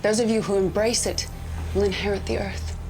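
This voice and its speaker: A woman playing a fervent, steely militant cult leader giving a solemn, deliberate speech in a game cutscene. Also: medium pitch, fairly even intonation, close to an everyday voice, low-quality recording. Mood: resolute, fervent. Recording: clear background noise.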